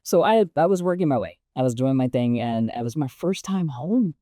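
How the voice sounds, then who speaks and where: Deep-voiced woman telling animated stories. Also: moderate pace, no room sound, studio quality. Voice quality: relatively deep voice. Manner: animated, laughs often, voice jumps up when excited. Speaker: actress and guest. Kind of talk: casual interview storytelling.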